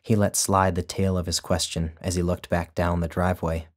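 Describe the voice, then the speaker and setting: A man, the author, narrating his own literary short story in a measured, even way. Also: low-pitched.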